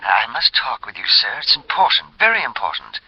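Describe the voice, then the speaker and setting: A man's voice: mid-range voice, big pitch swings, a little fast, slightly rough, no echo. An actor playing a nervous, hesitant, polite male stranger phoning in a 1950s radio drama. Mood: anxious. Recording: dull, dry vintage radio recording.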